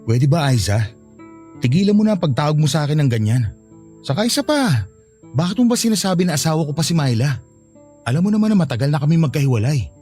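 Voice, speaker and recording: Insistent, mellow-voiced male suitor. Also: a little high, very animated, quick pace, pauses often, faint music behind. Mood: insistent, hurt. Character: insistent, wounded male suitor, separated father. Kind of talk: radio drama acting.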